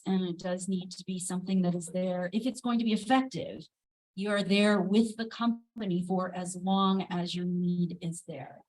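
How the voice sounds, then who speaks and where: A woman speaking as an investor on a live panel, explaining her points in a measured, deliberate way. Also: medium pitch, poor audio. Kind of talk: panel discussion. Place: event space with a live audience.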